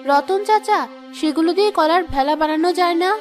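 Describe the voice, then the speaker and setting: A woman as a high, reassuring young wife. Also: fairly quick, soft background music. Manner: steady, reassuring. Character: calm, resourceful young wife. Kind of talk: Bengali cartoon voice acting.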